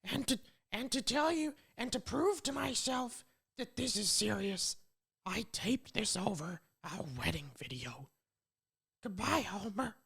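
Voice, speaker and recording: A man doing an impression of a middle-aged cartoon mother, earnest and worried, with a raspy, croaky voice. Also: high voice, animated, unhurried, bright, forceful tone, pitched above the performer's own voice, heavily acted. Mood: solemn, hurt.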